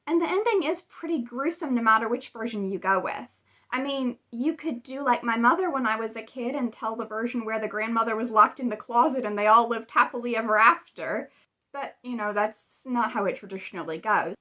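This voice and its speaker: Higher-voiced woman chatting about stories. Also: fairly bright tone. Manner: chatty, conversational asides. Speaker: video host. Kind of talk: casual video commentary retelling fairy tales.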